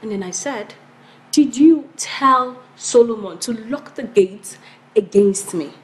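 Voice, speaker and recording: A woman's voice: on the high side, slow, poor audio. A woman playing a flustered, frightened wife who stammers and pleads in a melodramatic film. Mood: defensive, wary. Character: flustered, frightened wife placating her husband.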